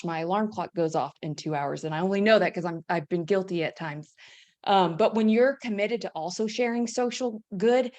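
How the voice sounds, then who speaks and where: A woman's voice: on the low side, darkish tone. A woman hosting a webinar, speaking in a conversational, upbeat way with fillers. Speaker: host. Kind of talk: webinar chat.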